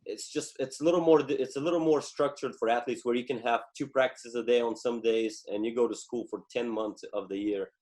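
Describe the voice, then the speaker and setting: A man from Bulgaria, a native Bulgarian speaker in his thirties, talking as a podcast guest with frequent pauses and fillers. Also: medium pitch, steady tone, a little mellow. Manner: hesitant, many fillers and restarts. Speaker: guest, former Olympic wrestler. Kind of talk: podcast interview.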